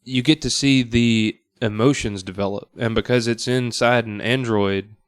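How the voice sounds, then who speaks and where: A man speaking casually as a guest on a podcast, halting now and then as he thinks aloud. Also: medium pitch, fairly even intonation, smooth, no room sound, clean studio sound. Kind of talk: casual chat. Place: podcast studio.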